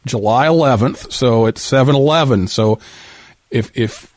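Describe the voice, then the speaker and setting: A man hosting a live call-in show, casually reading out listener messages in a halting way with repeated words. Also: medium pitch, no echo. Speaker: radio show host.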